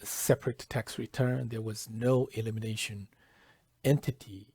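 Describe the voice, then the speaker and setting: A man presenting a software tutorial step by step, halting and deliberate, often pausing mid-phrase. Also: lowish voice, steady tone, husky, dry recording. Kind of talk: step-by-step tutorial narration, lightly scripted.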